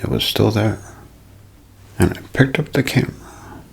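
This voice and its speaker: A man voicing a calm, awestruck woman eyewitness in an ASMR role play, in a breathy, deliberate half-whisper. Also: fairly low pitch, heavily acted. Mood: calm awe. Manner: slow, deliberate.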